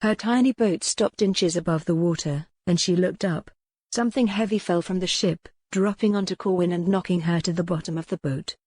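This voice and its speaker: A woman with a fairly low voice narrates a historical romance audiobook. Her delivery is scripted and dramatic. The sound is very dry, with no echo. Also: moderate pace, darkish tone, husky.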